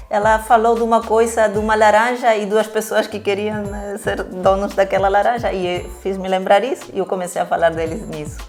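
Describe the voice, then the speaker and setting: A woman from Peru speaking Portuguese with a Spanish accent, explaining things conversationally as an interviewed missionary and often correcting herself. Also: mid-range voice. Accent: Spanish-accented Portuguese, Peruvian.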